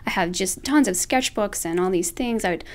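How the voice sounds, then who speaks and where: A woman's voice: mid-range voice, normal speed. Earnest, reflective young woman. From Alabama. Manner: earnest, reflective, self-correcting. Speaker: guest artist. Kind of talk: casual interview chat.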